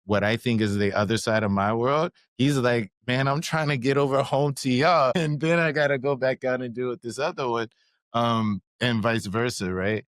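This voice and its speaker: Sex: male. Role: podcast co-host. Pitch: average. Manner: animated, chatty storyteller, many "like"s. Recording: dry, close. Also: moderate pace, dry recording. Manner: animated storyteller, frequent "like" and "right?". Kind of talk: casual conversation. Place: live recording at a conference.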